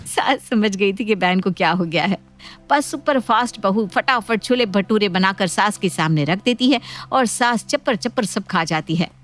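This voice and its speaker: A woman's voice: mid-range voice, animated, moderate pace. A woman storyteller reading scripted narration for a moral story. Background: background music.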